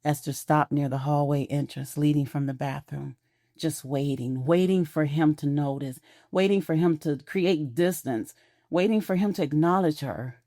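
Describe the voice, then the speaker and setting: Low-voiced woman narrating a drama. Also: moderate pace, mellow, no room sound, studio quality. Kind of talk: scripted audio drama narration.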